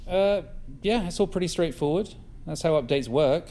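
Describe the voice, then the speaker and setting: An actor playing a glib, slightly awkward tech specialist in an audio drama, with a casual way of explaining things. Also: male voice, a little high, normal speed, warm tone, close to an everyday voice. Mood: casual, evasive. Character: glib, slightly awkward techie man, quietly scheming. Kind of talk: naturalistic audio drama acting.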